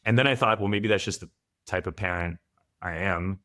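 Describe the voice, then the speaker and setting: A man's voice: deep voice, normal speed, pushed and bright. A man from France, a guest father, giving thoughtful, candid answers in a podcast interview.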